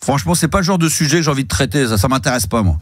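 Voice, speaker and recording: A man hosting a radio call-in show, teasing the caller with playful jokes and deliberate mishearings. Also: medium pitch, animated, no echo, clean studio sound. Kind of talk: joking call-in banter. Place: radio studio. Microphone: studio microphone.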